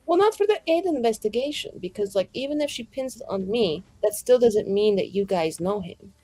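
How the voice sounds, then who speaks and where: A woman's voice: a little high, lively intonation, fairly quick, mellow. A woman improvising a flippant, dramatic, self-deprecating adventurer in a tabletop roleplaying game. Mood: defensive.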